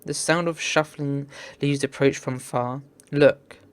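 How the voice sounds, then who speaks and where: A man's voice: mid-range voice, steady tone, takes it slowly, smooth. A man reading visual novel text aloud in an earnest, theatrical way as a game playthrough host. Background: game music.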